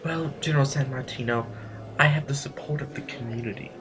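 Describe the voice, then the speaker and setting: A man playing a smug, haughty aristocrat in an audio drama, speaking formally with hesitant, deliberate pauses. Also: mid-range voice, a crowd in the background, natural voice. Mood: condescending. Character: smug, haughty male aristocrat needling a rival general.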